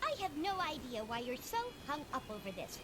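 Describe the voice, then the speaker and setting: A flustered little court clerk. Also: female voice, sits high, sing-song, brisk, music in the background. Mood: fretful. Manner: polite, flustered. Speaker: court assistant.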